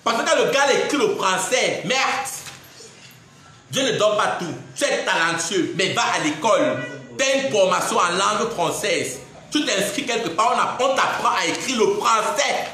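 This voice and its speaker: A young man with a Cameroonian French accent rants to his viewers, indignant and mocking, laughing between jabs. His voice sits high and sounds bright and sharp. The sound bounces around a very echoey room. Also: animated, normal speed, soft background music. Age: 20s. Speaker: social media personality. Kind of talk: ranting live video monologue.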